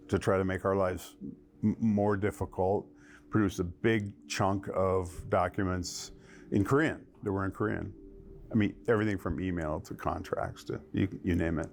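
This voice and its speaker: This is a man with a low, gravelly voice, a lawyer looking back on a case in a documentary interview. He tells it in a measured way. He slips into the quoted exchanges as if replaying them. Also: slight background noise. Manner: measured storytelling, acting out quoted dialogue.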